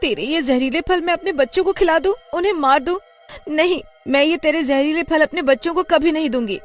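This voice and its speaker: A woman voices a protective cartoon village mother with an extremely high-pitched voice. She is distrustful and sharp-tongued, delivering her dialogue emphatically. Light music sits behind her. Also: a little fast, natural voice. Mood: scornful.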